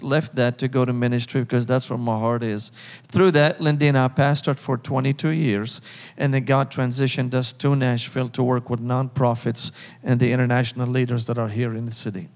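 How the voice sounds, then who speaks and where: A man's voice: mid-range voice, a little mellow. Middle-aged guy preaching his testimony, earnest and fervent, big echoey church hall. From Syria. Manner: earnest, building to fervent emphasis. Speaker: ministry leader and guest speaker. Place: church sanctuary.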